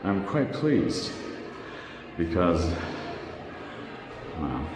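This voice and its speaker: A man's voice: low voice, very animated, drawn-out words, background noise. A man talking to himself wryly while walking around an empty room under renovation at night, recorded on a handheld camera. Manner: wry, musing, talks to himself. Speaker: vlogger. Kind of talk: casual self-talk while walking around. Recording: echoey room with music behind.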